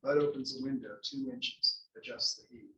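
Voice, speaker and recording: A man's voice: mid-range voice, fairly even intonation, normal speed, vocal fry, a bit bright, lo-fi sound. A man narrating stage directions from a script at a table read. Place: library room, table read. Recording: echoey library room, distant mic.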